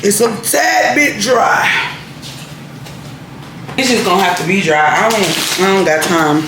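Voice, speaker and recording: A woman's voice: medium pitch, animated, measured pace. A young woman, chatting casually and laid-back in her vlog, laughing easily. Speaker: vlogger. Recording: echoey room with noise.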